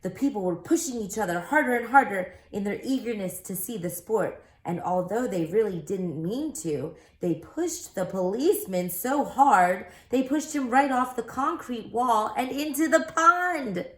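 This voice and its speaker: A woman reading a children's book aloud as an expressive storyteller, recorded in an echoey room. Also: on the high side, a little slow, few pauses.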